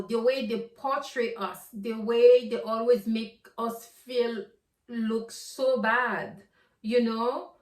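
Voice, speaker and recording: Slow, earnest woman in an echoey room. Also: fairly high pitch. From Haiti. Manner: earnest and preachy, repeats words as she builds up to a point. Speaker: podcast host. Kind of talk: earnest solo talk to camera. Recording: roomy sound from a distant mic.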